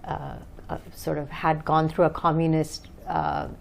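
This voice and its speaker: A woman's voice: low-pitched, level tone, a little slow, creaky, a little mellow. A woman from Bangladesh answering conversationally as an interview guest, hesitant as she searches for words. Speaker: interview guest, author and English teacher.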